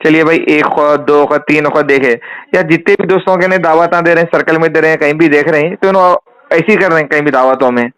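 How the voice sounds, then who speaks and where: Higher-voiced Hyderabadi man, insistent caller. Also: steady tone, fast talker, fairly bright tone, clean tone. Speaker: caller putting a question to a cleric. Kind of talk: informal phone conversation. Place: on a phone call. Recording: recorded phone call.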